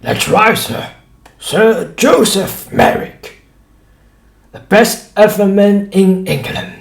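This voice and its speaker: A man's voice: high voice, animated, takes it slowly, gravelly, echoey room, voice pushed up, full character voice. A man voicing a bullying, fawning, greedy sideshow shopkeeper for an audiobook, with emphatic, servile delivery. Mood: fawning, boastful. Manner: fawning, emphatic, says sir repeatedly. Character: loud, grinning, greedy male sideshow shopkeeper, bullying and obsequious. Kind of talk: audiobook character voice.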